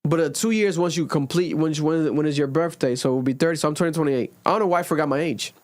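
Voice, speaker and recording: A young man hosts a podcast, talking loosely and animatedly, and his fairly high voice shoots up whenever he jokes. The recording is dry and close. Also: fast talker, no echo. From Rhode Island, USA. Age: 20s. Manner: animated, swings high when joking. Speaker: podcast host. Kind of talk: casual podcast chat. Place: home recording during a blizzard.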